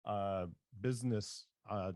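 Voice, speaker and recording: A man co-hosting an interview, asking questions in a halting way with fillers. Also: on the low side, steady tone, a little mellow, no room sound, studio quality. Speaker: co-host.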